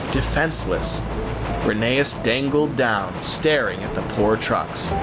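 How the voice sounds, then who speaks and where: A man's voice: medium pitch, poor audio. A man narrating an audio drama as a scripted story, with theatrical, suspenseful phrasing.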